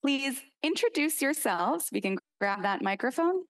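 A woman's voice: high-pitched, very animated, measured pace. A young woman hosting a stage event, welcoming and directing speakers. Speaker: event host.

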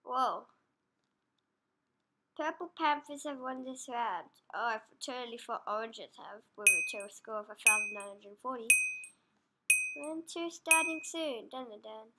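A child gamer, sniffly from a runny nose, giving excitable casual commentary while playing. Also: female voice, fairly high pitch, unhurried, low-quality recording. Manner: excitable, squeals and groans at the game. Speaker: gameplay commentator. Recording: loud background noise.

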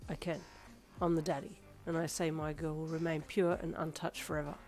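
A woman voicing a gruff, possessive young father in a story, stern and playfully defensive, with a raspy edge. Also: deep voice, flat delivery, brisk, a little mellow, soft background music.

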